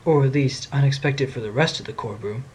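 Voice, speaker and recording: A man's voice: medium pitch, brisk, darkish tone, lightly acted. A man playing an assured, wry fox defense lawyer, explaining things in an audio drama. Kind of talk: amateur audio drama acting.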